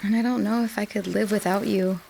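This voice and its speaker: A young woman playing an insecure, devoted girlfriend who speaks in a hushed, faltering way in a student radio drama. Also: medium pitch, fairly even intonation, brisk, creaky, slight background noise, close to an everyday voice, low-quality recording. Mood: fearful.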